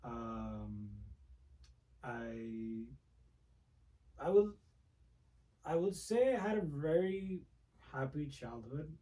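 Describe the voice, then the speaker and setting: A man from the Republic, a guest speaking softly and reflectively in a casual interview. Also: medium pitch, very animated, extremely slow, pauses often, distant mic. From Dominican Republic. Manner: soft-spoken, reflective, frequent restarts. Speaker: guest, music producer.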